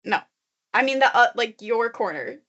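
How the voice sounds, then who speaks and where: Young woman, rambling, filler-heavy chat, high-pitched, dull lo-fi recording. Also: animated, moderate pace, a bit bright. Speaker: podcast co-host. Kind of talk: casual chat. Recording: muffled lo-fi recording.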